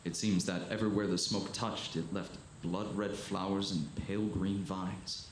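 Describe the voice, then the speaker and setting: A man performs a solemn lone survivor, a learned professor, telling his tale in a vintage radio drama. His voice is on the low side and his delivery is grave and literary. The room rings with echo over faint noise. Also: flat delivery. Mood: grim, weary. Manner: grave, deliberate, literary storytelling. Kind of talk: 1930s radio drama narration, monologue. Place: live performance before an audience in a hall. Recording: echoey live stage, faint noise.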